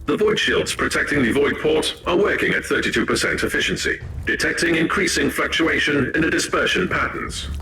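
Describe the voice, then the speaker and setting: An actor voicing a flat machine that gives clipped status reports in a video game. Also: medium pitch, a little fast, few pauses, noisy background, natural voice.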